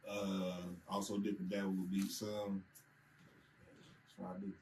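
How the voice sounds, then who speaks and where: A man's voice: low-pitched, measured pace, slight background noise, rough recording. A man from Michigan, a rapper and songwriter, chatting casually in an interview and telling stories about how the group met. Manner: relaxed storyteller, tells anecdotes with acted-out quotes.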